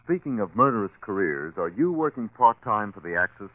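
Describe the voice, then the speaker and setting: A man's voice: lowish voice, sing-song, brisk, dull audio, loud music behind the voice. A man announcing and reading commercials on 1940s radio in an emphatic, salesmanlike way. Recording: vintage 1940s radio broadcast.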